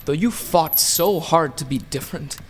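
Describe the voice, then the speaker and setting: A man's voice: fairly high pitch, normal speed, slight background noise, natural voice. A man playing a vengeful king of the gods in a radio play, taunting and theatrical. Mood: gloating, taunting. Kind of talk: audio drama acting.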